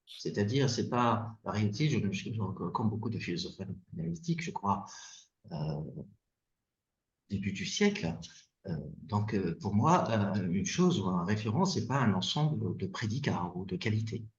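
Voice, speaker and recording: A man from Tunisia who wrote the book, discussing philosophy in an animated way while searching for his words. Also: on the low side, moderate pace. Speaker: philosophy author leading the discussion. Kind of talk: academic discussion. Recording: echoey, dull lo-fi sound.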